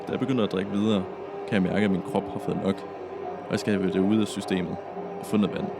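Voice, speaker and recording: A man's voice: sits low, flat delivery, gravelly. A young man narrates his own story as a scripted podcast. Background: music.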